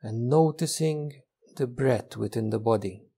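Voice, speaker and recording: Man, guided meditation, very slow pace, dark mellow tone, gentle, long pauses between phrases. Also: medium pitch, gravelly, husky. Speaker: meditation teacher.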